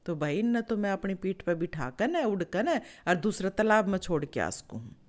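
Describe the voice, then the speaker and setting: A woman's voice: mid-range voice, expressive, normal speed, lightly acted. Lady doing a sly old heron guy from a folk tale, haryanvi accent, fake holy and sorry for himself. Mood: falsely caring. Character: sly old male heron, falsely pious, weepy schemer.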